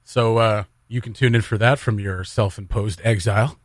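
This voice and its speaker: A man's voice: lowish voice, steady tone, moderate pace, no room sound, clean studio sound. A man hosting a casual radio show in an upbeat, chatty way. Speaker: radio morning show host. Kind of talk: casual radio chat and interview. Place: radio studio.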